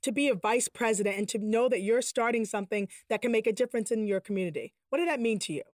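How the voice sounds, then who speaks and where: A woman's voice: fairly high pitch, quick pace, no room sound, studio quality. A woman from Boston co-hosting a podcast in an exuberant, encouraging way. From Boston, United States. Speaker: podcast co-host, TV producer.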